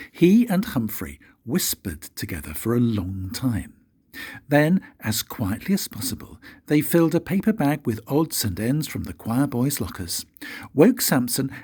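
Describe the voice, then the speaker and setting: An older man, a grandfather, reading a children's storybook aloud in an expressive, unhurried way with dramatic pauses. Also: mid-range voice, husky. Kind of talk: storybook narration.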